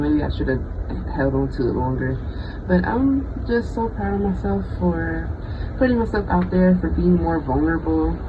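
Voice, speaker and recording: A thirty-year-old vlogger talking casually and off the cuff, in a reflective, halting, freestyled way. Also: mid-range voice. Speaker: bioengineering student. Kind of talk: casual unscripted personal vlog. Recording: dull lo-fi audio with vehicle-like noise.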